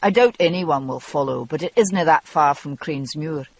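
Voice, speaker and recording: A narrator voicing a young Scottish man who speaks quietly and matter-of-factly, gruff but gentle, in a husky voice with a Scottish accent. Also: normal speed, no echo, close to an everyday voice. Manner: low, quiet, matter-of-fact. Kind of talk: audiobook character voice.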